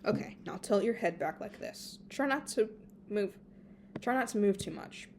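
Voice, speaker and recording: A woman's voice: medium pitch, lively intonation, moderate pace, darkish tone, a little hiss or hum, natural voice. A woman voicing a playful, teasing male fae student who fusses and instructs, in a storybook reading. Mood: brisk, fussing. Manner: breezy, chiding, instructing.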